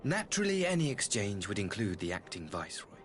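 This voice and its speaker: An actor playing a courteous, calculating imperial ambassador in a video game, speaking with formal, eloquent, theatrical archaic diction. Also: male voice, lowish voice, big pitch swings, fast talker, a bit bright. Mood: measured, diplomatic. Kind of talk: scripted video game voice acting. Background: background music.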